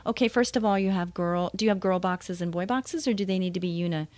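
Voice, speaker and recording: Woman call-in radio host, lower register, enthusiastic and chatty, dry close mic. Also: fast, a little mellow, no echo. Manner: enthusiastic, chatty, laughs easily. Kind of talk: radio interview, call-in show.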